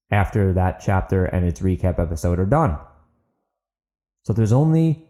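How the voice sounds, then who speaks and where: A man narrating and hosting an audiobook podcast, with earnest, deliberate phrasing. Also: lowish voice, mellow. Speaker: podcast host, author and narrator.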